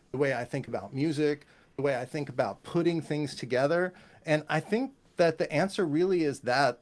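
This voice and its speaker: A man from the United States chatting casually as an interview guest, joking and rambling as he talks. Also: on the high side. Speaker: guest, composer and bass player.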